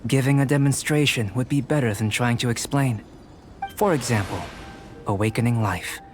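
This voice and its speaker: Man playing a calm, scholarly young alchemist, music behind. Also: mid-range voice, close to an everyday voice. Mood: calm, intent. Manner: measured, scholarly, detached. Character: young male alchemist, calm, clinical, curious researcher. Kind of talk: scripted fantasy game dialogue.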